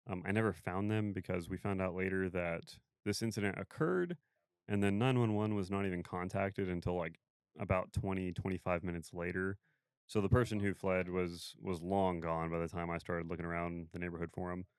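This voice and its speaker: A man with a very deep, smooth, resonant voice hosts a podcast and chats casually about police work. He talks in a relaxed way with many fillers. The sound is dry and close. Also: fairly quick, no room sound. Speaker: podcast host and police officer. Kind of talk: casual interview chat. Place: podcast studio.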